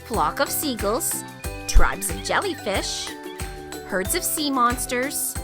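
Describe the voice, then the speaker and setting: Animated woman reading a children's story. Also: a little high, slow. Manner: expressive, emphatic, clearly phrased for children. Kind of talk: read-aloud storytelling for young children. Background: children's music.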